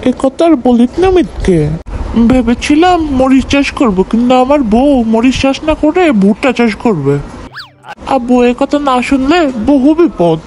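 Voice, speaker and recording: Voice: high-pitched, expressive, normal speed, darkish tone, a little hiss or hum, low-quality recording. A performer voicing a resigned, henpecked male farmer in a comic cartoon.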